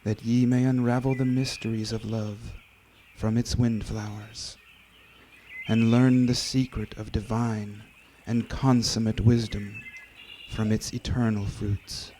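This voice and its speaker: A man giving a solemn, measured dramatic reading of scripture. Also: on the low side, little pitch movement, mellow, slight background noise. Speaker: reader.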